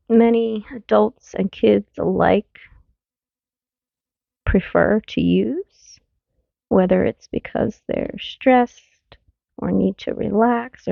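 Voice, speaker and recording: A woman speaks as a mindfulness teacher, her voice heavily laced with vocal fry. She guides a relaxation practice gently and very slowly, leaving room between phrases. The sound is thin and narrow, like a phone line. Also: mid-range voice, dry recording. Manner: gentle, unhurried, soothing, with long pauses. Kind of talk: guided meditation, body scan.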